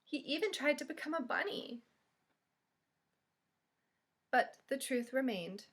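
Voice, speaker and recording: A woman reading a picture book aloud to young children as a library storyteller, expressive and pausing to ask them questions. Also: sits high.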